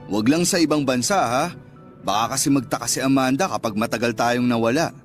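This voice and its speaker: An actor playing a smooth-talking, indulgent restaurant owner courting his lover in a radio drama, casual and coaxing. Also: medium pitch, fairly quick, lightly acted. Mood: indulgent, confiding. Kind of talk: Tagalog radio drama dialogue. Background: background music.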